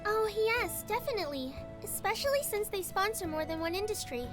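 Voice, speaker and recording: A man voicing a gentle, informative young woman in a story game. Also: extremely high pitch, expressive, a little fast, over music, pitched above the performer's own voice. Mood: explaining. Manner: gentle, explanatory.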